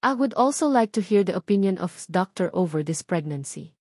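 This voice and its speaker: Woman voicing young male lover, Filipino accent, storybook romance, calm, reassuring, commanding, dry close recording. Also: on the low side, moderate pace, husky, no room sound. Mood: calm, firm.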